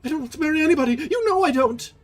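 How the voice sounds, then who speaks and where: A man voicing a frightened, pleading young woman in a high, breathless voice for a storybook reading. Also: very animated, fairly quick, slightly rough, soft background music, exaggerated voice.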